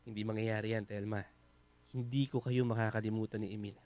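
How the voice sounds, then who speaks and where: A man's voice: fairly low pitch, level tone, normal speed, darkish tone, lightly acted. A man with a Filipino accent playing a humble, grateful houseguest in a radio drama, with a polite, self-effacing manner.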